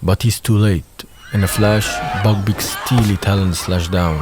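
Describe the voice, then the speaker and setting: A man's voice: on the low side, steady tone, a little slow, music in the background. A man reading scripted narration for an audio drama.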